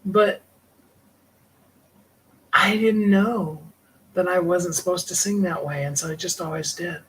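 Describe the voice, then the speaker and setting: A middle-aged man with a higher tenor voice, hosting a livestream and talking in a rambling, heartfelt way with small laughs. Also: fairly even intonation, unhurried, fairly bright tone, breathy edge, poor audio. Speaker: livestream host and singer. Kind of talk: reflective personal livestream talk.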